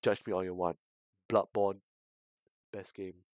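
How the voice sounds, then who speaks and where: A man streaming a visual novel read-aloud, halting and repeating words, with casual asides to chat. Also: low-pitched, flat delivery, darkish tone, dry recording, clean studio sound. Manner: halting, stumbles and repeats words while reading.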